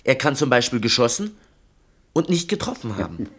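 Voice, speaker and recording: A man's voice: medium pitch, very animated, moderate pace, a bit bright, slightly rough. An actor in a 1960 radio play playing an earnest, defiant young man who speaks bluntly and insistently.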